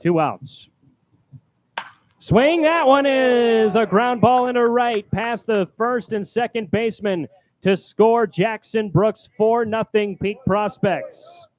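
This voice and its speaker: Higher-pitched male baseball play-by-play announcer. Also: slow pace. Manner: loud, projecting on calls. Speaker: baseball play-by-play announcer, also doing public address. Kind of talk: live play-by-play sports commentary. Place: press booth at a ballpark. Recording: clear background noise.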